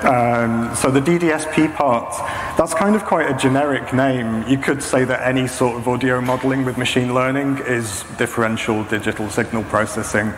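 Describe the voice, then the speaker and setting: A man speaks as a conference presenter, explaining technical steps in a measured, halting way. His middle-pitched voice rings through a reverberant hall, with clear background noise. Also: fairly even intonation. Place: indoor talk stage with projection screen.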